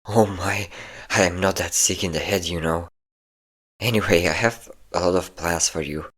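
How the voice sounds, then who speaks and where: A man's voice: low-pitched, steady tone, moderate pace, fairly bright tone, breathy edge. A man voicing a possessive, sadistic and flirtatious vampire captor in an intimate roleplay audio drama, teasing and commanding. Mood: taunting, playful. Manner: teasing, commanding, theatrically smug.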